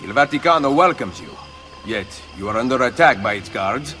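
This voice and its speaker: A man's voice: medium pitch, expressive, normal speed, sharp tone, faint music behind. A man voicing a stern, curt Italian nobleman assassin with an Italian accent in a video game. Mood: guarded, resolute. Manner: curt, commanding.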